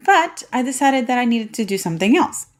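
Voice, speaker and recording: A woman's voice: a little high, lively intonation, brisk. A woman in her thirties from Puerto Rico, hosting a solo podcast and telling a personal story in a chatty way. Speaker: podcast host.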